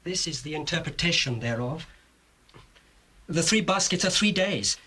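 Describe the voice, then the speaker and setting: An actor with a British accent playing an earnest young Hebrew dream interpreter, declaiming solemnly in a scripture drama. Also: male voice, fairly high pitch, animated, normal speed, pushed and bright, slightly rough, full character voice. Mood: solemn, urgent. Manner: declamatory, emphatic. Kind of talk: dramatized scripture acting in archaic English. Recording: faint hiss of old cassette.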